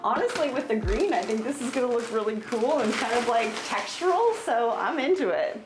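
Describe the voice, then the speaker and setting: A woman hosting a DIY vlog, talking in an upbeat, expressive, casual and chatty way. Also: fairly high pitch, a little fast, music in the background. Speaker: host of a DIY home makeover vlog.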